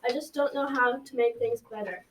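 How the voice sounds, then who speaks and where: A woman's voice: on the high side, fairly quick, natural voice. A young girl playing an earnest granddaughter in a school radio drama. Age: child or teen. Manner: earnest, conversational. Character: earnest granddaughter, a schoolgirl loyal to her friend. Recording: distant, faint noise.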